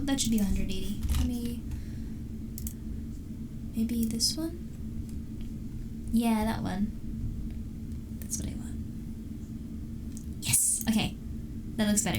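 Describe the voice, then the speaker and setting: Giggly high-voiced woman streamer, distant mic. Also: huge pitch swings, takes it slowly, pauses often. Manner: giggly, rambling, many restarts. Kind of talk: casual chat with live chat. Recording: distant mic with clear background noise.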